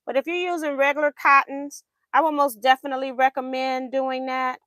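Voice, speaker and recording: A woman's voice: sits high, level tone, fairly quick, fairly bright tone, dry recording. A woman hosting a quilting livestream, chatting casually while she sews, laughing often and answering viewer comments, her voice going in and out.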